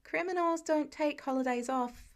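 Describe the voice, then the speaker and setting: A woman's voice: high-pitched, normal speed, voice pushed up. A woman voicing an eager, sheepish teenage boy superhero in a storybook reading, casual and hesitant.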